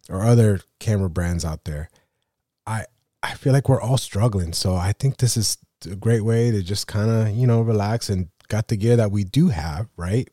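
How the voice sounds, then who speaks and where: A man hosting a photography podcast while getting over a cold, rambling through a casual solo rant. His voice sits low and mellow, and he keeps leaning on "you know" and "right?". The sound is dry and close. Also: no room sound. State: sick with a cold.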